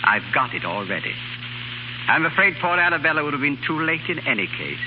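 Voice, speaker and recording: An actor playing a suave murderous professor and spy with polite menace, in a noisy 1930s radio drama. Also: male voice, fairly high pitch, big pitch swings, brisk. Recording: noisy, muffled 1930s radio broadcast recording.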